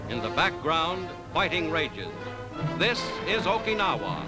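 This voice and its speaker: A man's voice: a little high, sing-song, measured pace. An American man narrating a 1940s newsreel in a declamatory, dramatic manner. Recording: 1940s newsreel with loud music.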